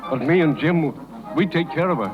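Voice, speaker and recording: Man playing anxious circus hand, expressive, higher-pitched. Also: natural voice. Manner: halting, repeats himself. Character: simple, devoted, anxious male circus hand. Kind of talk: 1930s radio acting. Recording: muffled 1930s radio broadcast, loud music.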